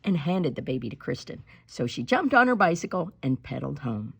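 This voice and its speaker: A woman's voice: deep voice, very animated, a little fast, creaky, slightly rough. A woman reading a children's story aloud with dramatic, emphatic storytelling. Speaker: storybook reader. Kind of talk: animated read-aloud children's narration.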